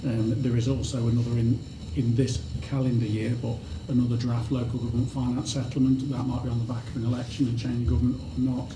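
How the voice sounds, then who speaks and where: A fire chief gives committee members a frank, matter-of-fact briefing. His voice sits at middle pitch and stays level. The room sounds echoey, and a steady hum of background noise runs under his speech. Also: fast talker, hardly any pauses. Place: council meeting room. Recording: roomy chamber with background noise.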